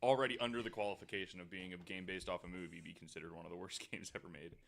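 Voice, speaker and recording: A man guest co-hosting a podcast, telling a story haltingly with dry jokes. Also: sits low, steady tone, vocal fry. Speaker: guest co-host. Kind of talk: casual chat, telling a story.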